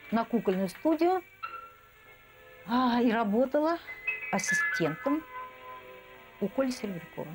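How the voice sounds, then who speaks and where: A woman's voice: a little high, rough recording. Emphatic middle-aged woman reminiscing over music. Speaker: animation professional interviewed. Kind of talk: reminiscing documentary interview. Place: indoor room, seated at table.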